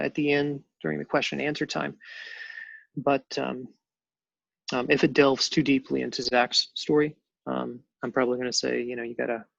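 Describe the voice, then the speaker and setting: Guest, male, 50s; gravelly, halting storyteller, dull dry audio. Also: mid-range voice, no echo. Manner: halting, many ums and restarts. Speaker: guest telling his adoption story. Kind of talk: personal storytelling on a panel show.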